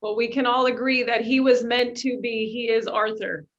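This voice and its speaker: A woman's voice: fairly high pitch, steady tone, moderate pace. A woman moderating a question session in a conversational hosting style, in slightly muffled sound. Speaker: moderator of a reunion Q&A.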